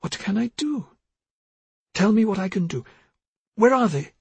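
A man narrator acts out a grown daughter in an audiobook, anxious and pleading. Her voice is pitched up and husky, with an urgent, imploring manner. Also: a little slow, a little mellow, no room sound, exaggerated voice. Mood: desperate. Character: anxious, pleading adult daughter, eldest child.

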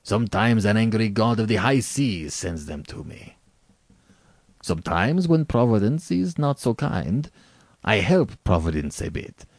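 An urbane storybook villain acted out by a male reader, an arrogant general who hunts men. He speaks with suave, precise condescension, and his lowish pitch swings widely. The sound is dry. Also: big pitch swings, a little slow, no room sound, exaggerated voice. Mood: sly, pleased.

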